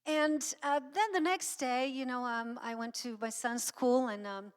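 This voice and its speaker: A middle-aged woman from Croatia with a Croatian accent, giving an informal inspirational talk with halting, anecdotal storytelling. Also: sits high, steady tone, clear, resonant tone. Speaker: guest speaker and singer. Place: church sanctuary, speaking to an audience. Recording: long hall reverb.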